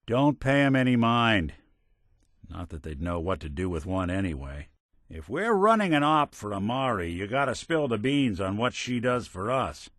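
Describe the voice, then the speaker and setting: A world-weary robot gumshoe for a video game, in a lowish voice recorded close and dry. His delivery swings up and down expressively. He talks in wry, old-fashioned private-eye slang, folksy and loyal. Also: fairly bright tone, no echo, full character voice. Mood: wry, reassuring, then matter-of-fact, wry. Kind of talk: video game companion voice lines.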